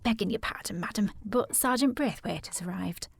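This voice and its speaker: An actress playing a soothing, dutiful housekeeper in a period audio drama, deferential and formal, with a husky, creaky voice. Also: female voice, medium pitch, normal speed, noisy background, lightly acted.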